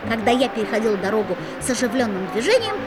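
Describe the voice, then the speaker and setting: A woman's voice: a little high, big pitch swings, fast talker, loud music behind the voice. A woman voicing a curious young schoolboy who narrates a musical fairy-tale audio play in an earnest, storytelling way.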